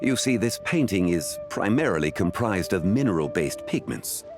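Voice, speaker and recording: Deep, dignified scholarly gentleman. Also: sing-song, over music. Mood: learned, courteous. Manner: formal, measured, erudite. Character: dignified, learned, composed male antiquities connoisseur. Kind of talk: animated game voice acting.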